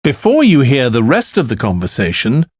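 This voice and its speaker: A man reading scripted test instructions as an exam announcer, in a formal and deliberate manner. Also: medium pitch, huge pitch swings, darkish tone, slightly rough, no room sound, studio quality.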